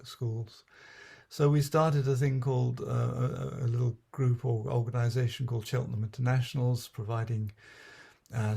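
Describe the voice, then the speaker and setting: An older man, a retired Anglican priest, recalling his life in an unscripted, halting way, with many fillers and restarts. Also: medium pitch, gravelly, warm tone, husky. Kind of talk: unscripted reflective memoir narration.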